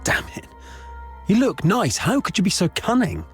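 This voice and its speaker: A cornered, gossipy informant from a video game, whiny and blustering. The pitch swings widely from a middle register, and music plays along. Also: male voice, wildly swooping pitch, normal speed. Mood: pleading, annoyed. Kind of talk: video game cutscene voice acting.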